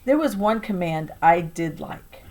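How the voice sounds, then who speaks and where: A woman's voice: fairly low pitch, lively intonation, measured pace, a little mellow. Woman reading a children's story aloud, emphatically. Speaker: teacher reading aloud to a class. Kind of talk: expressive children's novel read-aloud.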